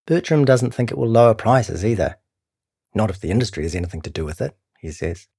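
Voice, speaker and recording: A man with a New Zealand accent reading a scripted long-form news feature as narrator, in a measured, serious way. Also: lowish voice, animated, creaky, mellow, breathy edge, no echo, clean studio sound. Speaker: narrator of a long-read journalism podcast.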